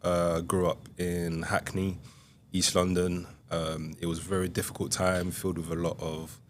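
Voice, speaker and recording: A young man from Congo, a podcast guest, telling his life story in a soft, hesitant way with many pauses. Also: deep voice, flat delivery, slight background noise. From Republic of Congo. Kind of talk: reflective interview storytelling.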